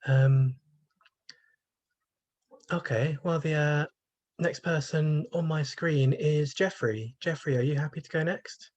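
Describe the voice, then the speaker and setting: A man's voice: a little high, slow pace, pauses often, a little mellow, highs cut off. A man hosting a poetry showcase, speaking informally in a polite, hesitant way. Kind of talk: informal event introduction.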